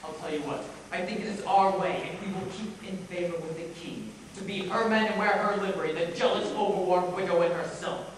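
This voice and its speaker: A young man on stage playing a bitter, deformed, scheming villain duke in declamatory Shakespearean style with deliberate pauses. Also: high-pitched, close to an everyday voice. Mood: sly, mocking. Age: young adult. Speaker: high school stage actor. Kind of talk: theatrical Shakespearean stage acting. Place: high school stage performance, recorded from afar. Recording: echoey theatre hall, distant microphone, faint noise.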